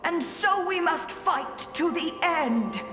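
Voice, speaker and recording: A woman's voice: extremely high pitch, expressive, slow pace, heavily acted. A woman voicing a resolute ghost soldier making a last stand in a video game, solemn and declamatory, with a long echoing reverb. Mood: grim resolve. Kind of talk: scripted video game voice acting. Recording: long echoing reverb effect, music behind.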